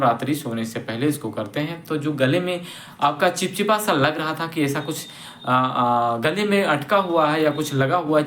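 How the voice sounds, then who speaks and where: A man hosting a health channel, giving plain instructions and often pausing to correct himself. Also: medium pitch, fairly bright tone, slight background noise. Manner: plain and instructive, with many pauses and self-corrections. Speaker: Ayurveda health channel host. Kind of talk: informal explanatory talk to camera.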